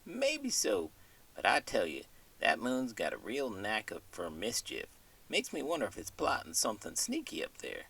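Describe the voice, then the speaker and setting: A man's voice: fairly low pitch, wildly swooping pitch, normal speed, vocal fry, a bit bright, breathy edge, distant mic, heavily acted. A man voicing a good-natured, puzzled cowboy with an American cowboy drawl and folksy turns of phrase in a scripted bedtime skit. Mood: musing. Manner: folksy, colorful cowboy idioms. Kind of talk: scripted comedic bedtime interlude.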